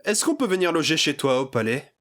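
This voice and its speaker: A man's voice: on the high side, very animated, fairly quick, heavy background noise. A man playing a cocky, scheming young gamer brother in an anime fan dub, theatrical and given to melodrama. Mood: casual. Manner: theatrical, swinging from smug to frantic. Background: background music.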